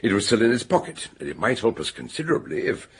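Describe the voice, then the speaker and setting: A man playing a shrewd, avuncular detective doctor in a radio mystery, questioning courteously in a husky voice. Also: mid-range voice, sing-song, fast talker, heavily acted. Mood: probing, courteous. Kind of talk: radio detective drama acting.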